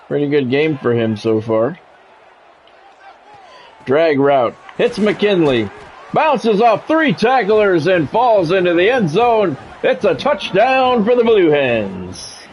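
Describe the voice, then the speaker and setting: An American man doing sports play-by-play for a video game stream, mixing game calls with relaxed, casual chat to viewers. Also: a little high, takes it slowly, pauses often. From United States. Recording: dull lo-fi audio with faint noise.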